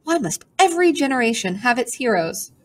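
A woman's voice: a little high, sing-song, brisk, pitched above the performer's own voice. A woman voicing a melodramatic, self-pitying talking pigeon with a pompous, theatrical manner. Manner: theatrical, sighing, haughty complaints.

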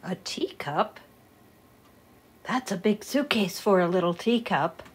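A woman voicing a small, curious, excitable bird in a storybook, full of questions and exclamations. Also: mid-range voice, slow, breathy edge, exaggerated voice. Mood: surprised. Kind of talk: storybook read-aloud character voice.

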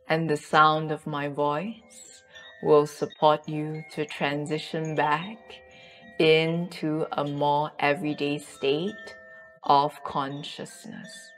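A woman leading a guided yoga nidra meditation in a soothing, unhurried way, with long pauses. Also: sits low.

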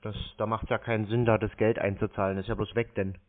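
A man's voice: fairly low pitch, little pitch movement, quick pace. A man talking bluntly and insistently in an argumentative phone conversation, challenging the other side. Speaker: scam baiter taking a phone call. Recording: tinny narrow-band phone line.

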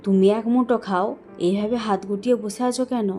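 A woman's voice: medium pitch, steady tone, brisk, warm tone, faint music behind, natural voice. A woman voicing a humble, loving, poor shopkeeper father in a cartoon, with an earnest, self-blaming manner. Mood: affectionate. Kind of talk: Bengali cartoon voice acting.

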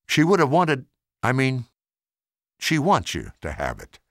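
An older man voicing an earnest young girl in a storybook, halting and sincere. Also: mid-range voice, wildly swooping pitch, fairly bright tone, no echo, studio quality.

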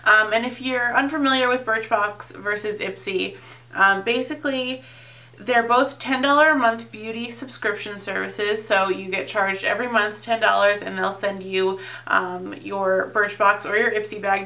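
A woman's voice: mid-range voice, steady tone, moderate pace, sharp tone, distant mic. A young woman chatting casually and rambling through a beauty unboxing vlog, her voice a little off from getting over being sick. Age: young adult. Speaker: beauty vlogger. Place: indoors, small home filming set.

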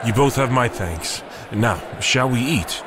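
An actor playing an earnest young warrior captain in a fantasy audio drama, speaking formally and in measured words. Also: male voice, medium pitch, expressive, background noise, close to an everyday voice. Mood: courteous, puzzled.

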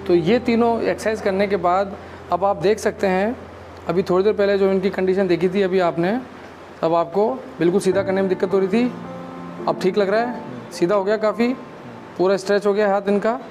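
A man in his thirties, a physiotherapist, calmly explaining exercises step by step in a clinic room, on a lapel mic. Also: sits high, fairly quick, over music. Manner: calm, instructive, explains step by step.

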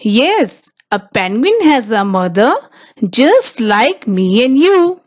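Female storybook narrator for small children; very slow delivery, lively intonation. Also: a little high. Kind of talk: children's picture book read-aloud.

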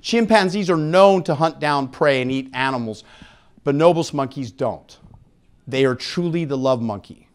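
Candid, higher-voiced man lecturing. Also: animated, normal speed, clear, resonant tone. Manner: jokey, candid, conversational. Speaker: conference speaker on sexual health. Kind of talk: live lecture to an audience, frank and informal. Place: indoor conference room with seated audience. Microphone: handheld microphone.

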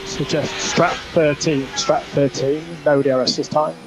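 Male race engineer, slightly high voice, clipped technical calls over noisy team radio with car noise. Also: steady tone, a little slow.

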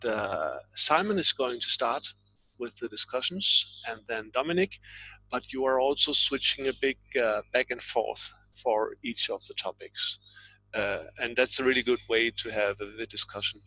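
Gravelly guy running the defence, tense bright voice, dry sound. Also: medium pitch, expressive, normal speed, no echo, poor audio. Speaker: defence chair. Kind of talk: chairing a meeting.

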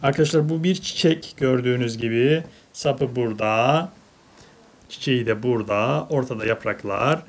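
A woman's voice: very deep voice, normal speed. A woman narrating a casual toy unboxing for children, as a parent chatting with a child indoors at a table.

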